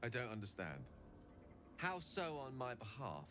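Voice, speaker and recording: A man's voice: mid-range voice, huge pitch swings, unhurried, noisy background. An actor playing an impatient, earnest younger man in a fantasy game, speaking with exasperated drama. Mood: confused, impatient. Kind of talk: fantasy game dialogue acting.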